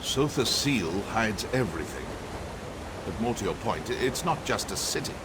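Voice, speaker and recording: A man's voice: on the low side, lively intonation, moderate pace, very noisy, close to an everyday voice. A man playing an arrogant, cryptic elven sorcerer scholar in a video game, theatrical, condescending and dryly sardonic. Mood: dry, explaining. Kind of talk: scripted video game dialogue. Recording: music under the voice.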